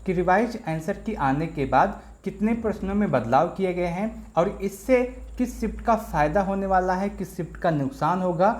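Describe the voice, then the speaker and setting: A man's voice: sits high, expressive, moderate pace, hardly any pauses, a little mellow. A man hosting an exam-prep explainer video, addressing viewers directly as friends and walking through worked examples step by step, often repeating himself.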